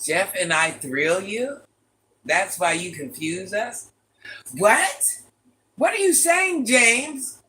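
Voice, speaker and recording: An older woman with a very deep voice, hosting a casual livestream, reading viewer comments and playfully trash-talking. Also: lively intonation, slow pace, bright, forceful tone, a little hiss or hum. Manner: playful trash talk, sassy asides. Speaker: livestream host.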